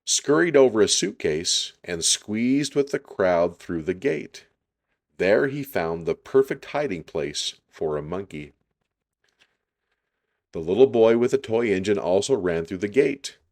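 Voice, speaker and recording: A man reading a children's story aloud in an unhurried, deliberate storytelling style. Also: fairly low pitch, animated, creaky, a little mellow.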